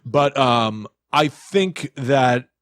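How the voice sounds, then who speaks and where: A man's voice: medium pitch, expressive, very slow, dry recording, studio quality. A man co-hosting a podcast in casual chat, thinking aloud as he talks. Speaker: podcast co-host. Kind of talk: casual chat about movies and the paranormal.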